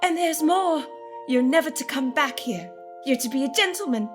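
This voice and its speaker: A woman's voice: sits high, lively intonation, moderate pace. A woman playing a sweet, delicate, joyful little sister, gushing and affectionate, in a theatrical radio play. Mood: joyful, loving. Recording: music behind the voice.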